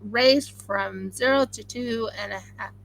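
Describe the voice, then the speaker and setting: Chatty Oklahoma-accented woman with a gravelly edge. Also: a little high, unhurried, poor audio. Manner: chatty, rambling, excitable. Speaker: craft channel host. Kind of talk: casual live-stream chat while sewing.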